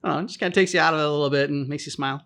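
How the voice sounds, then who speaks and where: A reaction show host with a mid-pitched voice chats casually about a game. The phrasing wanders and hesitates as thoughts form. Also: steady tone, rapid-fire, a bit bright.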